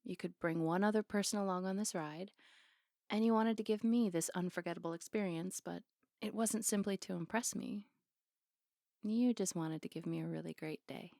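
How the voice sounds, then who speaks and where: Female performer as playful, affectionate barista love interest for ASMR roleplay; mid-pitched, intimate, teasing, dry studio-like sound. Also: moderate pace, vocal fry, warm tone, no room sound, natural voice. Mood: warm, sincere.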